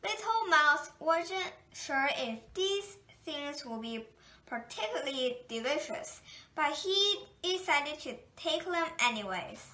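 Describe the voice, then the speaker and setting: A woman reading a children's picture book aloud in a gentle, unhurried storytelling manner. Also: high voice, very animated. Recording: echoey room.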